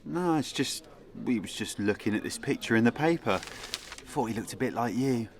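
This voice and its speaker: A man's voice: medium pitch, expressive, moderate pace, slight background noise, close to an everyday voice. A man playing a sly, sceptical young pub regular with a dry manner in a comic radio play.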